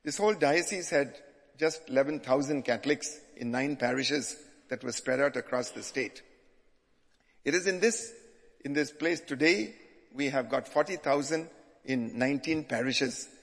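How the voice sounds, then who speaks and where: Older Indian-accented bishop, halting delivery, in a reverberant hall. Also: male voice, medium pitch. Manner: hesitant, frequent restarts. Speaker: visiting bishop. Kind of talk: sermon-style address.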